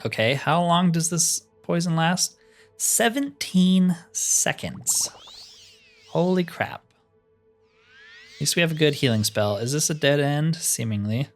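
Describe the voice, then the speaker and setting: A man's voice: on the high side, lively intonation, measured pace, faint music behind. A man giving casual let's play commentary, thinking aloud as he plays and grumbling wryly. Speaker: gaming video host.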